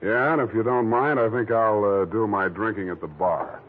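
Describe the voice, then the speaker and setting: An actor playing a cocky, unflappable private detective with an American accent, narrating in sardonic deadpan in 1940s radio style. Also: male voice, fairly low pitch, moderate pace, close to an everyday voice. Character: wry, hard-boiled male private detective, unflappable and cocky. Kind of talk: 1940s radio drama acting and first-person narration. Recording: tinny 1940s radio recording with music.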